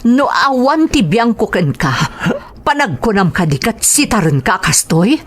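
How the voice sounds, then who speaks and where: A woman's voice: a little high, very animated, a little slow, a little hiss or hum. A woman with an Ilocano accent voicing a middle-aged mother scolding her rebellious son. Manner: scolding, exasperated.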